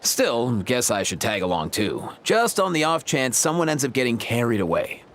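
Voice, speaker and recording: A man's voice: medium pitch, big pitch swings, normal speed, background noise. A man voicing a laid-back, weary young animal doctor in a video game, casual, hesitant and dryly wry. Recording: music behind the voice.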